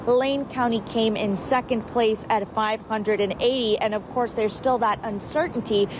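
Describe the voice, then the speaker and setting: A woman's voice: a little high, steady tone, moderate pace. A woman field reporter delivering a live TV news report from outside an airport. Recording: clearly noisy outdoor airport with traffic.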